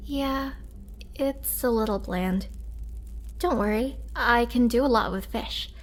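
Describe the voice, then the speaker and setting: Girl voicing a shy tender vampire girlfriend, slow n soft, kinda high voice, audio roleplay. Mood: caring, reassuring. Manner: soft, hesitant, caring delivery. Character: gentle, remorseful young female vampire, tender and shy.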